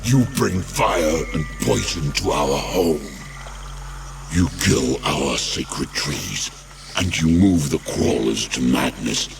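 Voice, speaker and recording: Inhuman high shaman, heavy gravelly growl, proud, solemn, fantasy game, distant sound, music behind. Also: lively intonation, heavily acted. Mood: threatening. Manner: solemn, halting, formal declarations. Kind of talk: fantasy video game voice acting.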